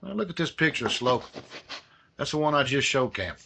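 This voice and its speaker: An actor playing a calm, methodical male detective partner in a radio drama. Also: medium pitch, brisk, creaky, noisy background. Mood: explaining.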